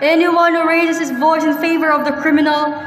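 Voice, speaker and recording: Woman acting high-voiced stern colonial officer. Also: level tone, normal speed, lightly acted. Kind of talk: amateur radio drama acting. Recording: echoey hall, distant, music behind.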